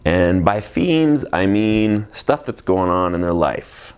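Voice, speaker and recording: A man's voice: low-pitched, lively intonation, slow, clear, resonant tone. A man in his forties, an energy healer, giving a reflective, meandering spiritual talk with many fillers and restarts. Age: 40s. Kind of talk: unscripted spiritual teaching monologue.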